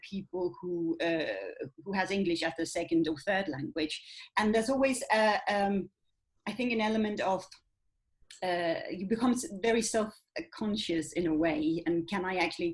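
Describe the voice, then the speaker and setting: A woman whose first language is Danish, hosting an interview, asking thoughtful questions and affirming her guest. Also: lowish voice. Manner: affirming, thoughtful, quotes others in quick asides. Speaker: host.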